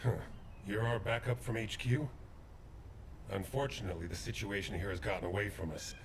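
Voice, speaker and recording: A man voicing a grave, courteous veteran soldier in a video game cutscene, speaking in a measured, formal way. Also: on the low side, fairly even intonation, heavy background noise, lightly acted.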